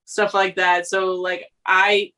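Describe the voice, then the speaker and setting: A woman doll sculptor chatting as a guest in a relaxed, giggly way. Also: mid-range voice, slow pace. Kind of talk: casual chat.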